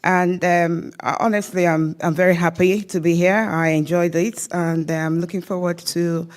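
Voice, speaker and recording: Hesitant, low-pitched woman. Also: flat delivery, moderate pace. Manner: hesitant, many fillers. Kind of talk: speaking in a seminar introduction round.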